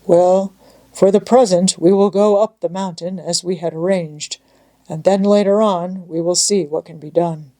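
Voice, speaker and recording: A gruff old mountain grandfather, read by a woman in a storybook. He is capable and decisive, and he speaks firmly in a lowish register. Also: fairly even intonation, moderate pace, mellow, close to an everyday voice, rough recording. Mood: reassuring. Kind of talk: storybook character reading.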